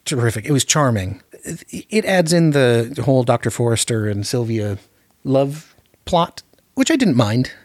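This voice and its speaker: A man's voice: medium pitch, big pitch swings, moderate pace, dry recording, studio quality. A man hosting a casual film discussion, often repeating words as he works out his thoughts. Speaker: podcast host.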